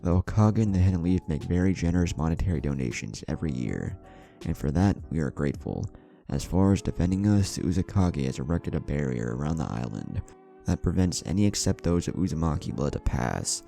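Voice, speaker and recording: A man voicing a gruff but kindly, dutiful ninja guard in a story, talking in an earnest, conversational way. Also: rumbling low, expressive, fairly quick, mellow, soft background music, exaggerated voice. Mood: earnest, informative.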